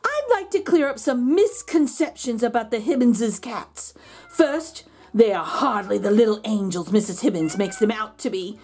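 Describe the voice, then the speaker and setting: A woman's voice: on the high side, lively intonation, moderate pace, darkish tone, husky. A woman voicing an indignant, self-pitying pet dog in a children's storybook, pleading with dramatic emphasis. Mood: defensive scorn. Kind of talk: children's storybook read-aloud.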